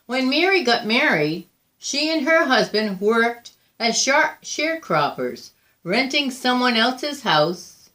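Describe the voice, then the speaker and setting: A woman reading a children's picture book aloud with deliberate, expressive phrasing. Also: on the high side. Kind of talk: read-aloud children's storytelling.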